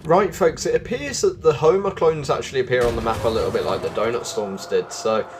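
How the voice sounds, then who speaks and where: Guy doing a casual game walkthrough, thinks out loud, mid pitch, music playing behind him. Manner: chatty, thinking aloud. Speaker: gaming video host. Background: background music.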